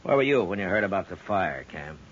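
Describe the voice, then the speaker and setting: An actor playing a stern, commanding frontier marshal in a 1950s radio western, terse and authoritative. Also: male voice, deep voice, big pitch swings, fairly quick. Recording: muffled, hissy 1950s radio recording.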